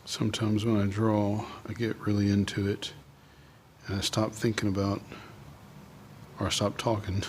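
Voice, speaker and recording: A man's voice: lowish voice, monotone, moderate pace, darkish tone, slight background noise. A man talking casually and unscripted as he demonstrates drawing, thinking aloud and trailing off as he goes. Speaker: artist hosting a drawing video.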